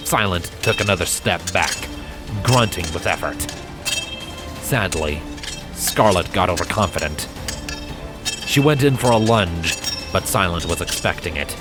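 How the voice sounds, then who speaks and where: Deep-voiced man narrating a dramatic story. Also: lively intonation, measured pace, pauses often, loud music behind the voice. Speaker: fan fiction narrator.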